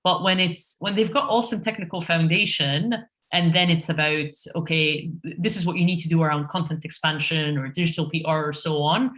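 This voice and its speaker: Woman, from Egypt, very low pitch, relaxed, fillers and restarts, podcast guest. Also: fairly quick. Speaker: podcast guest, head of SEO. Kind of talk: conversational interview answers.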